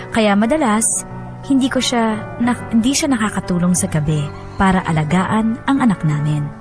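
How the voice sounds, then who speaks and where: Music plays behind an actress as a weary young mother. She narrates a radio drama letter in a plaintive, confiding manner, with a mid-pitched voice. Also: lightly acted. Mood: sad, confiding. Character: weary young new mother telling her story.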